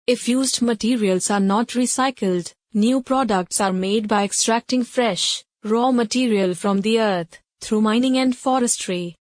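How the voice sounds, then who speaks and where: A woman's voice: on the high side, no room sound. A woman reading a scripted practice passage aloud for an exam lesson.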